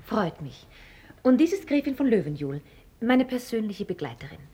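A woman acting a cool, witty, self-assured young heiress in a German radio play, composed and formally ironic, with a husky voice. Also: a little high, big pitch swings, normal speed, mellow. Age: young adult.

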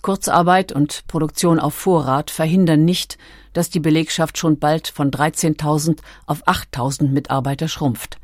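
A woman reading scripted documentary narration in a measured, factual manner. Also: low voice, fairly even intonation, dry recording, studio quality.

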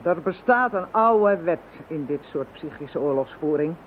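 A man's voice: on the high side, lively intonation, unhurried. Een man die in een hoorspel een kalme, belerende kenner van het bovennatuurlijke speelt. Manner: lecturing, self-assured. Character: calm male occult expert, confident and wry. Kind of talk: radio play acting. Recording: lo-fi phone-band radio recording with faint hiss.

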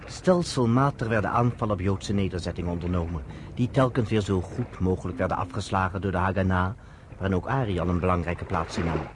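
Male narrator, lowish voice, scripted radio drama narration, faintly noisy old recording. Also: a little fast.

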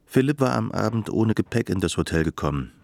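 An actor narrating a literary radio drama as a sombre storyteller of post-war Germany. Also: male voice, on the low side, lively intonation, a little fast, creaky, darkish tone, no echo. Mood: grave, measured.

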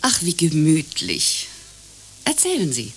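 An actress playing an overbearing, prim hostess who swings from cooing to indignant scolding in a satirical radio play. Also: female voice, low-pitched, huge pitch swings, slow pace, husky, soft background music, exaggerated voice. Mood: cosy, eager. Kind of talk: German radio play acting, satirical grotesque.

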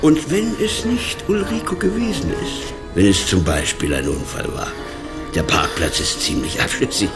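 Probing interrogator with lively intonation. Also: male voice, lowish voice, normal speed. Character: methodical male police interrogator, controlled and probing. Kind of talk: radio crime drama acting. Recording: studio radio play with music underneath.

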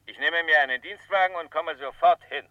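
An actor playing a brisk, businesslike man calling an office in a German radio crime play. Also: fairly high pitch, lo-fi sound, over loud music, close to an everyday voice.

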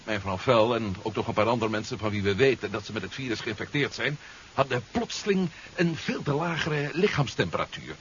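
A man playing an inquisitive, decisive ex-astronaut in a 1970s radio play. His pitch moves freely around a middle register. The recording is muffled. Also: lively intonation, a little fast, a bit bright, a little hiss or hum, natural voice. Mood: probing. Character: male former astronaut hero, inquisitive, decisive, dry-humoured. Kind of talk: 1970s Dutch radio drama acting.

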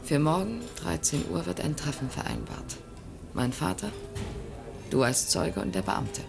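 Low, creaky-voiced determined young conspirator woman. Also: big pitch swings, exaggerated voice. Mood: conspiratorial, determined. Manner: brisk, commanding, conspiratorial. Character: bossy, determined young Brazilian conspirator, daughter of an official. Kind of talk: German radio play acting. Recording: studio radio play with prominent music. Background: music.

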